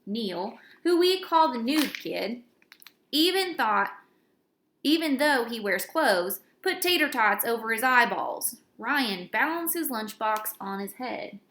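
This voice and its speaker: A woman reading a children's chapter book aloud in an expressive storytelling style. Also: a little high, slow, a little hiss or hum. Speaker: reader of children's books. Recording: roomy, echoey indoor recording.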